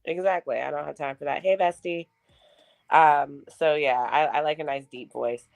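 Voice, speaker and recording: A woman with a notably low, deep-set voice talks casually on a podcast. She is blunt and profane and laughs often as she chats. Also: steady tone, normal speed, gravelly, darkish tone. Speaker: podcast co-host.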